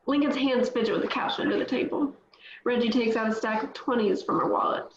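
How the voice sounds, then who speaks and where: A woman reading stage directions aloud at a table read, steady and plain, with small slips. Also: a little high, a little fast, background noise. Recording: echoey, dull lo-fi audio.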